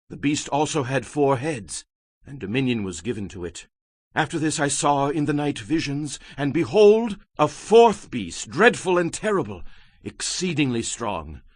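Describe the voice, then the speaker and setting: A devout prophet in a Bible audio drama, full of awe. His husky voice swings widely in pitch, and the recording is very dry. He declaims with great intensity. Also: fairly bright tone, dry recording, full character voice. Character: devout, awestruck male prophet recounting visions.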